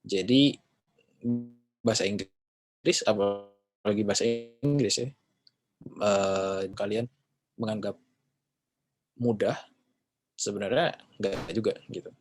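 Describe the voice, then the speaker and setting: A man's voice: fairly low pitch, slow, pauses often, rough recording. A man giving a casual class talk, rambling and often correcting himself with chatty fillers. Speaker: English class speaker.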